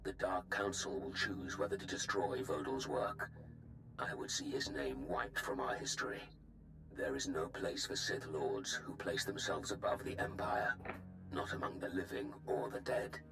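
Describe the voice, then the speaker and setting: Game villain: commanding dark lord, very deep gravelly voice, grave and formal. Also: male voice, expressive, moderate pace, music in the background, rough recording. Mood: stern, menacing. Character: menacing Sith lord, deep and imperious older man. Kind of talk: scripted video game acting.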